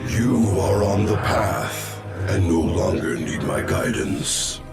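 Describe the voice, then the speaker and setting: An actor playing an ancient, solemn alien mentor in a video game, with a breathy rasp and grave, portentous delivery. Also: male voice, fairly low pitch, slow, over loud music. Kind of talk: video game cinematic acting.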